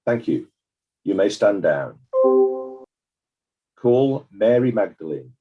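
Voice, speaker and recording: A man playing a courteous, formal examiner putting measured questions to witnesses in a dramatized inquest. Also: lowish voice, sing-song, breathy edge, lo-fi sound, soft background music, exaggerated voice.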